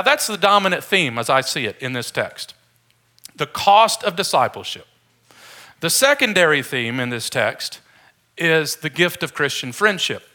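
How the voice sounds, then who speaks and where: Male preacher, slightly high pitch, forceful bright tone, informal and jokey sermon delivery. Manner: informal, joking with the congregation. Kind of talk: conversational sermon.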